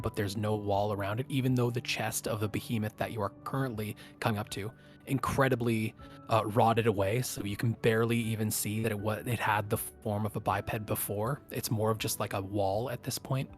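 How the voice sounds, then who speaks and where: Lowish-voiced man running a tabletop game. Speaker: game master. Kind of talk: improvised tabletop game narration.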